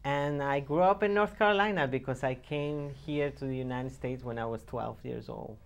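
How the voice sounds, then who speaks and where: A man's voice: medium pitch, normal speed, gravelly, slight background noise. Playful man, chuckling. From Venezuela. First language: Spanish. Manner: playful, chuckling, animated gestures. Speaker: pianist and conductor. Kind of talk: casual interview chat.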